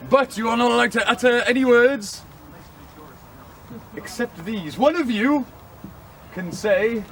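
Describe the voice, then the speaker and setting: A man voicing a dapper, smooth-talking devil with a wandering French accent, recorded outdoors with street noise. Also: very high-pitched, measured pace, pitched above the performer's own voice, low-quality recording. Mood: scheming. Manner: wheedling, bargaining, wavering French accent.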